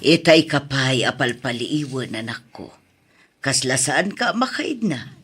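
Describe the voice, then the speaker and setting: An actress plays a worried middle-aged mother in a drama. Her low voice is rough and unhurried, gentle and coaxing. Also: big pitch swings, soft background music, exaggerated voice. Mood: concerned.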